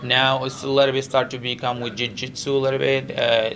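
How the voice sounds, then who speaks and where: Gravelly Moroccan-accented male fighter. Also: mid-range voice, flat delivery, brisk, a bit bright. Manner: earnest, repetitive, non-native English with frequent 'you know'. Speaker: professional MMA fighter. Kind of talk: press interview, casual chat.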